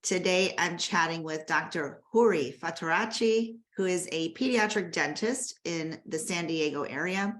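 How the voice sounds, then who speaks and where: A woman with a slightly low voice hosts a podcast. She is a dentist and yoga teacher. She introduces her guest in a friendly way and laughs easily. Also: unhurried, few pauses, a bit bright. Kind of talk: podcast host introduction and interview.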